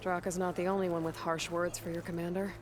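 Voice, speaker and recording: A woman's voice: on the low side, flat delivery, quick pace, noisy background, natural voice. An actress playing a determined young female hunter who speaks directly and dryly, in video game dialogue.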